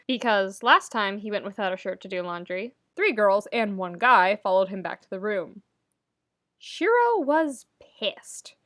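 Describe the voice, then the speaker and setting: A woman narrates fiction in an expressive storytelling style. She leans on phrases with wry, theatrical emphasis. The sound is dry, with very little room in it. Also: medium pitch, no room sound. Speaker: podfic narrator reading fan fiction.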